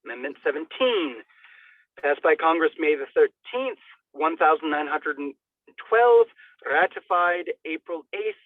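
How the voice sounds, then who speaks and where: A man's voice: on the high side, dry recording. A man giving a dramatic, declamatory reading of a formal legal document, with emphatic swells. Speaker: reader. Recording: thin, phone-like band-limited sound.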